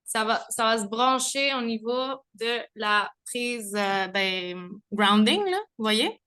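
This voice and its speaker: Young woman from Mexico, home video call, bright and fairly high voice, chatty. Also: animated, slow pace. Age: young adult. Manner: chatty, laughing, many fillers and restarts. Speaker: testimonial speaker. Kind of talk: casual spoken testimony. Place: home, with children nearby.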